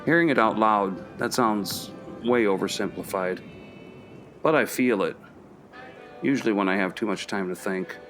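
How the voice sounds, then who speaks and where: A man's voice: on the low side, normal speed, fairly bright tone, soft background music, close to an everyday voice. A man acting in a radio play as an introspective middle-aged professor, speaking in a hesitant, reflective, conversational way. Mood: wistful, reflective. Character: introspective, kindly anthropology professor, a parent.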